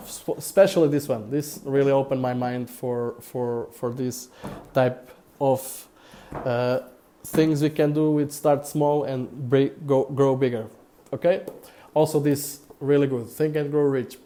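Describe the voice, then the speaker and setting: A man from Portugal with a Portuguese accent, talking casually on a live broadcast, halting with many fillers and repeated words. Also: medium pitch, warm tone. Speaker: crypto investor vlogger.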